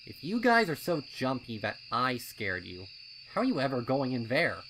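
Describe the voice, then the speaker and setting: A man's voice: mid-range voice, big pitch swings, normal speed, fairly bright tone, slight background noise, voice pushed up. A man voicing an impatient, defensive young colt in a story reading.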